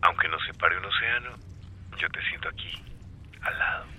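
Male radio drama lover, Colombian accent, deep and crisp-edged, sweet-talking, smitten, with some background noise. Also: moderate pace, sharp tone. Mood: flirtatious, smitten. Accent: Colombian, Bogotá. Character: smooth-talking, lovestruck Colombian man flirting by phone. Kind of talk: radio drama acting, intimate phone conversation.

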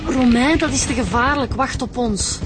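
A woman with a Flemish accent playing a brisk, capable police inspector in a radio crime drama, matter-of-fact in delivery. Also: high-pitched, noisy background, natural voice. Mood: urgent, worried. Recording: music under the voice.

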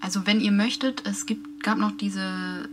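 A woman's voice: medium pitch, steady tone, unhurried, slight background noise. A woman interviewer asking casually and tentatively, off script. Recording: loud music over the voice.

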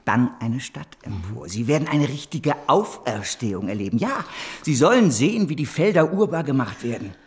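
An actor playing an ambitious, fervent Parisian financier in a German radio drama, speaking in a grandiose, persuasive way. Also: male voice, mid-range voice, sing-song, normal speed, darkish tone. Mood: visionary fervour.

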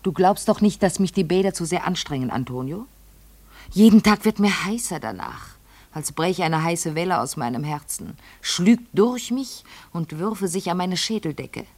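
A vain intense lady in a radio drama, breathy n gravelly, very theatrical about getting old. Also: fairly low pitch, animated, normal speed, darkish tone. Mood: feverish, yearning. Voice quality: husky, breathy, creaky. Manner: theatrical, intense, addressing a servant. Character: vain, obsessive lady fearful of ageing. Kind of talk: literary German radio play monologue.

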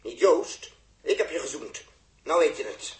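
An actor playing a jealous, hot-headed young spaceship radio operator in a 1960s Dutch radio drama. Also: male voice, fairly high pitch, animated, a little slow, a little hiss or hum. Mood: intense, pleading. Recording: roomy early 1960s radio recording.

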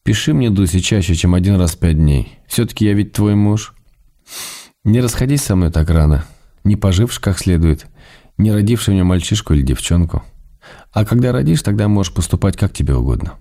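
An actor playing an ailing writer and tender, gently reproachful husband, reading his letters in a measured, intimate way for radio drama. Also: low voice, animated. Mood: tender, wistful. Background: music.

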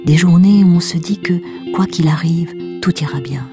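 A woman narrating an audio drama as a dreamy, wry storyteller, in a measured, suspenseful manner. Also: fairly low pitch, natural voice. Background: plucked guitar music.

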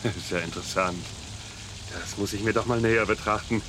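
A man's voice: on the low side, fairly even intonation, a little fast, background noise, close to an everyday voice. An actor playing an officious but gullible, jovial bridge policeman in a crime radio play, bossy and pedantic yet chummy. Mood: brisk, dry.

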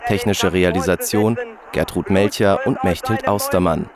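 A man's voice: deep voice, normal speed. A man reading scripted radio credits as an announcer. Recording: loud crowd noise behind. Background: crowd.

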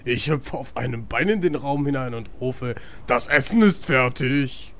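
A man acting a cheeky, clueless tabletop player in a comedy audio drama, with a flippant, deadpan manner. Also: medium pitch, measured pace. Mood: cocky.

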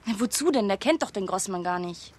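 An actress playing a grieving, agitated woman in a radio drama, with a husky voice. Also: mid-range voice, expressive, brisk, a little mellow, close to an everyday voice. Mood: dismissive.

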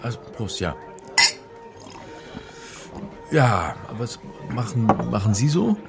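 An actor playing a wry, bookish lecturer, dry and pedantic, in a radio crime drama. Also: male voice, medium pitch, very animated, normal speed, creaky, over loud music. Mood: hesitant, sceptical. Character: wry, bookish male lecturer in religious studies, telling his story. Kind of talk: radio crime drama, first-person narration and dialogue.

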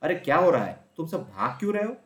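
A man's voice: medium pitch, very animated, normal speed, natural voice. A man voicing a flustered male bear who is eating honey, in a storybook reading. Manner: flustered exclamations. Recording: roomy echo.

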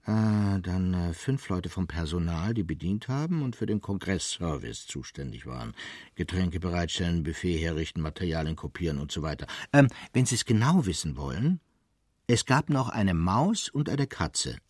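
An actor playing an agitated, evasive witness in a German radio play, hesitant, testy and given to digressions. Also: male voice, sits low, big pitch swings, moderate pace, darkish tone, no echo. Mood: flustered, rambling. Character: evasive, agitated, rambling male witness, enthusiast of poetry.

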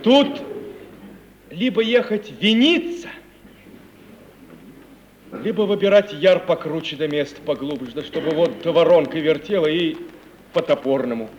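A young man acting a lively, boastful merchant's son in an old theatrical radio play, telling things in an animated way. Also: on the high side, measured pace, pauses often. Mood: musing. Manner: animated storytelling, bursting into laughter and exclamations. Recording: noisy 1950 radio play recording.

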